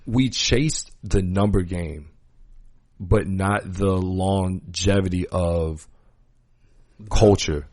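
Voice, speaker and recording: A man with a low voice talks casually as a podcast host in a dry-sounding space. He is earnest and opinionated, leaning on slang and repeating his points for emphasis. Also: very slow, long pauses, darkish tone, dry recording. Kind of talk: casual conversational podcast talk.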